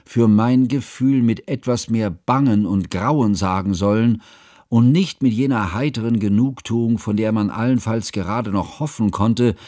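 An actor playing an earnest, troubled scholar who narrates a literary radio drama in a measured, formal, precise way. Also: male voice, fairly low pitch, dark tone, no room sound, clean studio sound, lightly acted.

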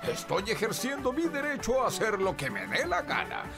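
An actor playing a pompous, boastful, clueless old-fashioned gentleman in a comic radio drama. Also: high-pitched, huge pitch swings, moderate pace, music in the background, heavily acted. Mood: gleeful, defiant. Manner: pompous, theatrical, hesitant when caught out.

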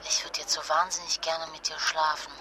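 An actress playing an impulsive, fragile young mother stranded abroad, speaking haltingly and colloquially in a naturalistic radio drama phone call. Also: low-pitched, flat delivery, natural voice. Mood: tipsy, sulky, affectionate. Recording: noisy ambience with vehicle sounds.

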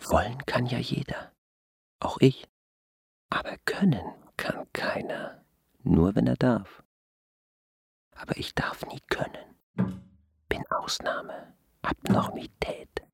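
An actor playing a bitter, self-loathing crippled pawnbroker in a radio drama, theatrical and halting, often dropping to a whisper. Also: male voice, medium pitch, animated, creaky, husky, heavily acted. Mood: bitter despair. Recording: long hall reverb.

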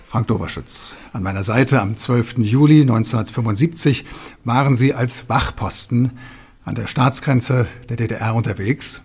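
Solemn, slightly husky TV host. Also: male voice, mid-range voice, a little mellow, soft background music. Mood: careful, serious. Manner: formal, measured, grave rhetoric with deliberate pauses. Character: solemn male television talk show host. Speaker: TV presenter. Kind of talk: radio drama, staged studio presentation.

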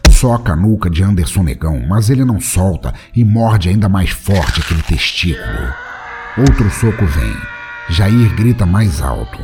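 Deep-voiced Brazilian man narrating dramatically. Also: animated, moderate pace. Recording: narration over loud background music.

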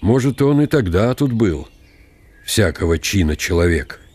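An aged military commander in a radio drama, lost in wry, proud thought. The voice sits low and moves very unhurriedly, wrapped in long reverb. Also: male voice, big pitch swings, darkish tone. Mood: wondering, wry. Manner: slow, deliberate, musing. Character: eighty-year-old male field marshal, brooding, ironic, proud. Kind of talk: radio drama inner monologue. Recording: long reverberant hall sound.

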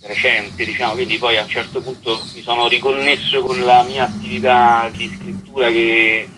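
A man's voice: medium pitch, little pitch movement, normal speed, background noise. A man being interviewed casually as a writer and stage actor, hesitant and often repeating words as he thinks.

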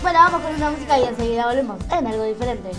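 High-pitched Argentine woman hosting radio. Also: lively intonation, over loud music. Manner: halting, repetitive, informal. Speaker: radio show host. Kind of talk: live community radio hosting, casual.

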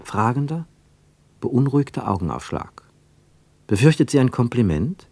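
An actor playing the wry, observant narrator of a literary radio drama, with measured, pointed phrasing. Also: male voice, mid-range voice, big pitch swings, warm tone, breathy edge. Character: wry, observant male narrator of a travel story.

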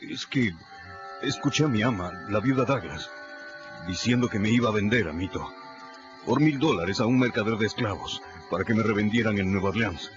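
A man's voice: lowish voice, normal speed, close to an everyday voice. A man playing a timid, superstitious runaway slave in a radio drama, humble and deferential, with a stammering, pleading manner. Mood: anxious, confiding. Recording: muffled radio production with music behind.

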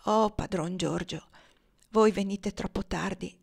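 A woman voicing a dying old man in an audiobook, gentle, feeble and devout, speaking with solemn peace. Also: medium pitch, gravelly, breathy edge, heavily acted. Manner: solemn, devout, slow-breathed exaltation.

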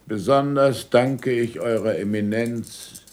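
An actor playing an old, ailing captive scientist, slyly submissive, dictating haltingly with deliberate pauses in a German radio play. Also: male voice, medium pitch, a little mellow, lightly acted. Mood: unctuous, measured. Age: older.

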